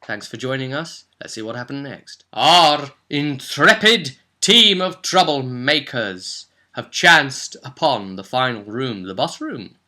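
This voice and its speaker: A man with a bright, forward voice at a middling pitch hosts a game recap. He tells the session as game master, in an animated and conversational storytelling manner. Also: slow pace, hardly any pauses.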